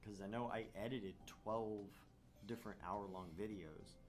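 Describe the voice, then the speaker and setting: A man's voice: on the low side, fairly even intonation, a little slow, faint music behind. A man hosting a gaming video, reading a visual novel aloud and adding casual, joking commentary.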